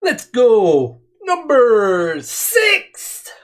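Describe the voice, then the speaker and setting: A man's voice: very high voice, huge pitch swings, drawn-out words. A man hosting a reaction video, talking casually and with enthusiasm, repeating words for emphasis. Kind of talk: casual commentary.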